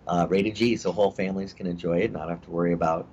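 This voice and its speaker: A middle-aged actor talking in a casual interview, rambling with many fillers and restarts. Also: male voice, low voice, fairly even intonation, fast, darkish tone. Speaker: actor guest. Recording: dull lo-fi audio with faint hiss.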